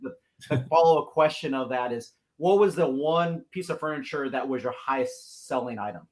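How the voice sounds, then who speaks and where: A man's voice: mid-range voice, moderate pace. A man from the US Pacific Northwest hosting a livestreamed talk show, upbeat and effusive, with many fillers. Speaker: host.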